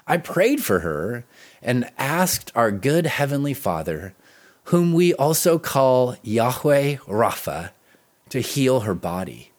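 A man delivers a scripted devotional in an earnest, pastoral way. His mid-pitched voice moves up and down freely, and he leans on key words with deliberate pauses. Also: very animated. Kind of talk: devotional reading, scripted narration.

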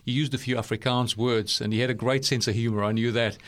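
A man's voice: medium pitch, steady tone, fast talker, a bit bright. A man with a mixed South African and New Zealand accent hosting an interview in a studio, chatty and laughing easily. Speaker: interview host. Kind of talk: conversational interview.

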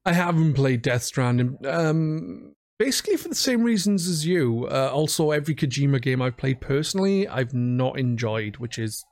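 Man, podcast host, average pitch, casual, conversational. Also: very animated, normal speed, darkish tone.

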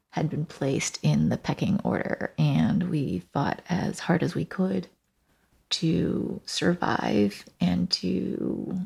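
A woman, from Taiwan and western Canada, giving a reflective personal narrative in a thoughtful, halting way, searching for words. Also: fairly low pitch, gravelly, darkish tone, slightly rough.

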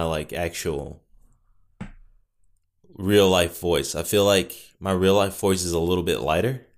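A young man hosting his own podcast, chatting casually into a mic with rambling, self-correcting asides and wry jokes. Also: low-pitched. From Northwest Indiana. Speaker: podcast host. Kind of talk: casual solo podcast chat. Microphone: studio podcast mic.

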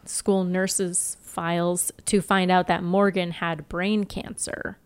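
A woman's voice: on the low side, level tone, slow, clear, resonant tone. A woman co-hosting a podcast, recapping TV in a casual, wry, sweary storytelling way. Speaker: podcast co-host. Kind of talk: casual TV episode recap chat.

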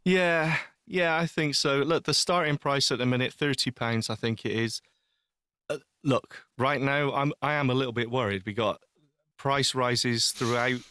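A man's voice: mid-range voice, fairly even intonation, moderate pace, bright, forceful tone, smooth, no echo, studio quality. A man talking casually and earnestly as a panelist on a podcast, with an emphatic way of putting things.

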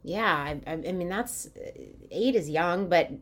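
A woman's voice: on the low side, a little slow. A woman in her fifties hosting an interview, asking rambling, chatty questions and often murmuring agreement. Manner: warm back-channelling, chatty rambling questions. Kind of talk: casual conversational interview.

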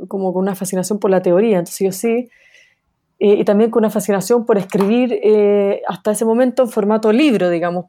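Mellow-voiced woman answering questions. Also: medium pitch. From Chile. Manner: thoughtful, many fillers and restarts, light laughs. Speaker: guest, sociologist. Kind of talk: interview answers.

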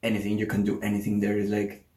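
A man's voice: lowish voice, monotone, quick pace, warm tone. A young man from Mexico co-hosting a casual chat show, talking with fillers and restarts. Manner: hesitant, many fillers, non-native English. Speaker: podcast co-host. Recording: echoey room.

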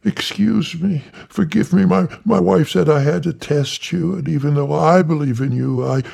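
A jittery little preacher man with a squeaky, rising voice that tumbles out in eager pleas, as a storyteller puts him on. He sounds gullible and desperate to please, a touch husky and gravelly underneath. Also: normal speed, darkish tone, full character voice. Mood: pleading. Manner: pleading, breathless and high. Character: frantic little male reverend, gullible and pious.

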